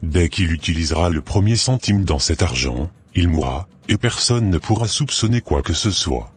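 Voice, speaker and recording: A man voicing a sinister, commanding older marabout sorcerer in a French cartoon, speaking in a measured, solemn way. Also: low-pitched, animated. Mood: cold, instructing. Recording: music behind the voice.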